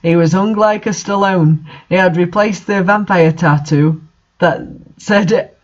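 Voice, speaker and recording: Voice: medium pitch, unhurried. Person reading out fanfic and mocking it, sarcastic and disbelieving, kinda muffled lo-fi mic. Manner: incredulous, sarcastic, swearing. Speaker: reader and commentator. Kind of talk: dramatic reading of fan fiction with casual mocking commentary. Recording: dull lo-fi home recording.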